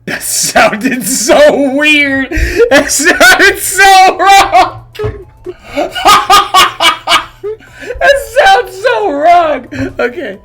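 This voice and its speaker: An excitable, theatrical host chatting casually while reacting to a video. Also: extremely high pitch, very slow, very noisy.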